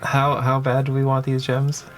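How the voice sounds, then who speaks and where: A man playing a tabletop game, planning his moves aloud in a measured, slightly hesitant casual way. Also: medium pitch, monotone. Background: music.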